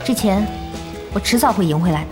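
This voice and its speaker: A woman's voice: medium pitch, lively intonation, brisk, close to an everyday voice. A woman playing a stubborn, determined young novice gamer in an audio drama, with a resolute manner. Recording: music bed under the dialogue.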